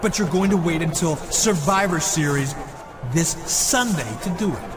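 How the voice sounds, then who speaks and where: A man playing a commanding, showy wrestling boss in a video game cutscene, making emphatic, drawn-out announcements. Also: a little high, music in the background, close to an everyday voice. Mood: authoritative, showy. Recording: loud crowd and noise.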